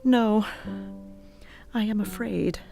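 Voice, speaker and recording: An actress playing a frail, trusting young Victorian lady patient in a radio play, plaintive and halting. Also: mid-range voice, creaky. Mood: weary dread. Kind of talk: dramatic radio play acting. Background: soft guitar music.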